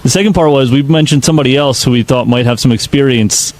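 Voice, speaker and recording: A man's voice: mid-range voice, quick pace, a little mellow, low-quality recording. A man casually recounting an incident in a group talk show discussion.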